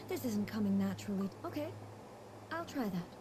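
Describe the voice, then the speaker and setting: A woman's voice: mid-range voice, expressive, a little slow, noisy background, lightly acted. A woman playing a reserved, stiff shogun in a video game, speaking formally with earnest, naive puzzlement. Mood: awkward, puzzled. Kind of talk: video game character acting.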